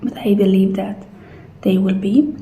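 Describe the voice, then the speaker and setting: A woman playing a calm, polite futuristic clinic receptionist in a soft-spoken, gentle, unhurried ASMR roleplay. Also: mid-range voice, roomy sound, slight background noise, lightly acted. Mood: calm, procedural. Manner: gentle, unhurried, reassuring customer-service talk.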